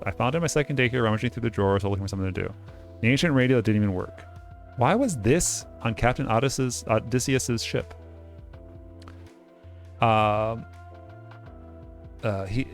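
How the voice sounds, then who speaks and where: Quick-talking man reading a game aloud. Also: medium pitch. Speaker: host of a game playthrough. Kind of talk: reading game text aloud with casual commentary.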